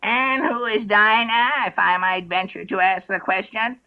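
A woman voicing a prim storybook parrot with a formal, drawn-out delivery. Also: medium pitch, fairly even intonation, lightly acted. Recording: tinny radio-like sound.

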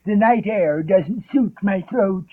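A woman voicing a fussy old magpie in a storybook, with a husky voice. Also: mid-range voice. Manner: slow, fussy. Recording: tinny radio-like sound.

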